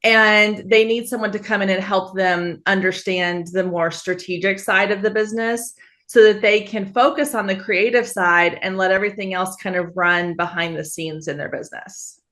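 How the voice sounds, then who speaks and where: A woman's voice: mid-range voice, steady tone, moderate pace, fairly bright tone. A woman in her thirties from Texas, a photographer and business coach, answering interview questions in a friendly, upbeat way. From Texas, USA. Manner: friendly, upbeat, easy laughs. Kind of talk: podcast interview.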